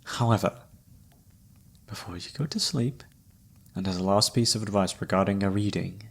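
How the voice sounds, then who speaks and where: Man acting a low, soft, mysterious fortune-teller. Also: slightly rough. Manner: soft-spoken, formal, courtly. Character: mysterious flirty palm reader, a wandering male fortune-teller. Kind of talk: ASMR roleplay.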